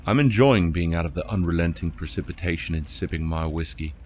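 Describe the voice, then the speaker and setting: A man's voice: sits low, brisk, darkish tone, a little hiss or hum, close to an everyday voice. A man playing a dry, unbothered husband in an audio drama, wry and a little wordy. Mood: smug, content.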